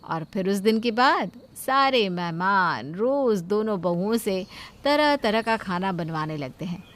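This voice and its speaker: A woman tells a scripted cartoon story as narrator. The pitch of her voice is medium. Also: lively intonation, a little slow, fairly bright tone.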